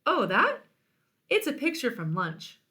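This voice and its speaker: A woman voicing a small, eager, self-absorbed male bird in a storybook, excitable and boastful. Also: sits high, measured pace, voice pushed up, exaggerated voice. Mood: defensive.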